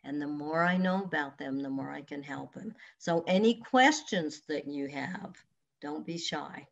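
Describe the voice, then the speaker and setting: Slow, earnest older woman counselor on a video call. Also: sits low, gravelly. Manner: slow, earnest, advises listeners directly. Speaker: counselor and hypnotherapist. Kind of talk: online expo talk answering questions. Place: home room with large windows. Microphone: webcam on video call. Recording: dull lo-fi video call audio.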